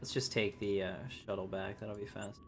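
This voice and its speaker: Low-voiced male game streamer over noticeable noise. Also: little pitch movement, normal speed, vocal fry. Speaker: livestreamer, gamer. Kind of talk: casual game commentary.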